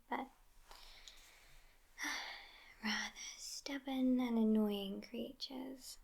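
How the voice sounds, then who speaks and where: A woman playing a sassy, world-weary, centuries-old vampire girlfriend in a breathy, whispery ASMR roleplay, languid and disdainful, with thoughtful hums. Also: a little high, a little mellow, full character voice.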